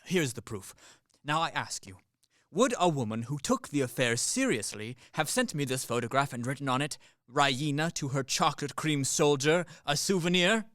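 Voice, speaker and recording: A man's voice: fairly high pitch, lively intonation, normal speed, fairly bright tone, dry recording, clean studio sound. A man playing a practical Swiss army captain in his thirties in a radio comedy, matter-of-fact and emphatic. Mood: rueful, exasperated. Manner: matter-of-fact, emphatic, reeling off lists and figures. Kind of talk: stage-comedy radio play acting.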